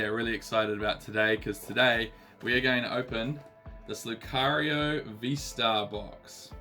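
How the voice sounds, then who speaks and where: A man in his thirties with a New Zealand accent, still a bit husky from illness, chatting casually as he unboxes trading cards with lots of ums and uhs. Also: lowish voice, fairly bright tone. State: husky, recovering voice. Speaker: card collecting channel host.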